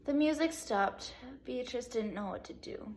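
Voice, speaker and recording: A woman teacher reading a storybook aloud to children in an animated way, pausing to put questions to her young listeners. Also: fairly high pitch. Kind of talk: expressive children's read-aloud, speaking to young pupils.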